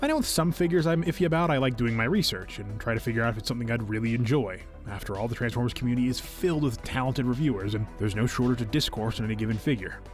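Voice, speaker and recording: Young or canadian guy, casual expressive review voiceover, mid pitch, music quietly behind him. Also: very fast, hardly any pauses. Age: young adult. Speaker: video host, toy collector. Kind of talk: scripted review narration, conversational.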